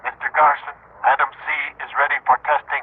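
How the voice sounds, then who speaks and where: An actor playing a brisk, deferential male office aide heard through an intercom filter in an old radio drama. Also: mid-range voice, flat delivery. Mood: dutiful. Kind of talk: 1960s radio drama acting. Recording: tinny old radio broadcast with hiss.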